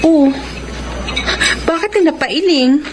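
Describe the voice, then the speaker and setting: A woman's voice: high-pitched, slow. An actress with a Filipino accent playing a hesitant young woman torn in love in a radio drama. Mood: defensive. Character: young woman torn between seaman boyfriend and admiring friend. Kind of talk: radio drama acting with first-person narration. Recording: music bed, noisy radio drama audio.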